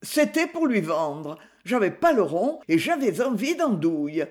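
A man's voice: high voice, very animated, measured pace. A man playing a shabby tramp with an alcohol-worn voice who rambles through slangy excuses. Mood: excusing. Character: shabby old male tramp, sly and easygoing.

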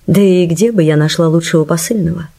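A friendly, trusting older society lady in a radio drama. Her voice is low, mellow and husky, over faint music. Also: fairly quick. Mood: confident, fond. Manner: friendly, composed. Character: gracious, trusting society woman nearing sixty. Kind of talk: Russian radio play acting.

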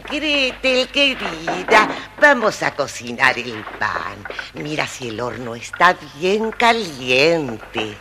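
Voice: medium pitch, very animated, slow pace, very noisy. An actor voicing a wicked, bossy old witch who eats children, with a scolding, theatrical delivery for a children's storybook record. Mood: grumbling, scheming.

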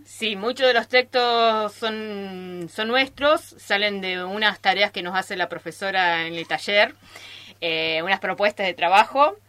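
A woman's voice: medium pitch, measured pace, pushed and bright. A woman with an Argentine accent chatting casually in an interview as an amateur radio theatre performer. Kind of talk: casual group interview chat. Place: radio studio.

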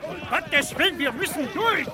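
An actor playing a desperate frontier judge in a Western radio drama, urgently crying and pleading to men and horse. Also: male voice, very high-pitched, lively intonation, moderate pace. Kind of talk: theatrical radio drama acting. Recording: loud noise with horses neighing.

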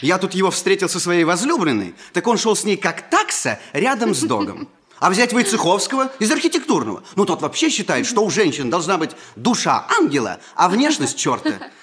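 A man's voice: sits high, brisk, exaggerated voice. An actor playing a chatty, good-natured young male student who gives wry advice in a 1960s radio comedy. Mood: amused. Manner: animated, wry.

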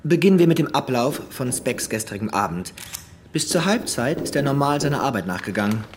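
A man's voice: medium pitch, big pitch swings, brisk, background noise, lightly acted. Methodical male police investigator played by an actor. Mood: factual, measured. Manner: orderly, factual reporting. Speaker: actor in audio crime drama. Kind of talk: German radio crime drama acting.

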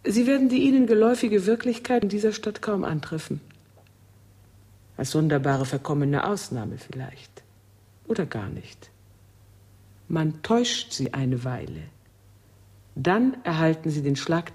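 An actress playing an enigmatic, commanding seductress in a radio drama, husky and deliberate. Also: female voice, low voice, expressive, mellow, exaggerated voice. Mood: cool, enigmatic. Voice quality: husky, breathy. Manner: slow, deliberate, theatrical. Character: enigmatic seductive woman, coolly commanding, melancholy. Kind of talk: German radio drama acting.

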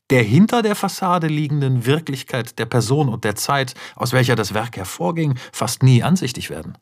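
A man reading scripted radio narration in a measured, literary manner. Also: medium pitch. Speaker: narrator reading screenplay and essay.

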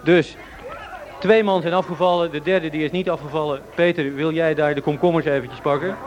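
A man's voice: a little high, fairly even intonation, a little fast, muffled recording. A man with a Netherlandic Dutch accent presenting live on location in an informal, chatty way, directing people around. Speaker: radio host. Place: outdoors on a residential street. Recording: outdoor street recording with music and crowd. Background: loud music, crowd, traffic.

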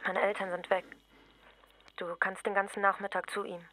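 An actress as a discreet young woman in a radio play. Her voice is bright and tense with little pitch movement, over faint noise. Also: flat delivery, moderate pace, natural voice, low-quality recording. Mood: discreet, matter-of-fact. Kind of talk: radio drama dialogue.

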